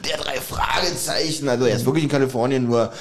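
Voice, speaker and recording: A man's voice: mid-range voice, fast talker, soft background music. A man co-hosting a podcast in casual chat, teasing his partner and often laughing as he talks. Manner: teasing, joking, laughs often while talking. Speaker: podcast co-host.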